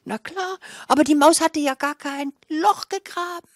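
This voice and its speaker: An older woman storyteller, telling a fairy tale live in a theatrical, expressive manner into a handheld microphone. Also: high-pitched, normal speed, no room sound, studio quality. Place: small indoor café room with a seated audience. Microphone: handheld microphone held close.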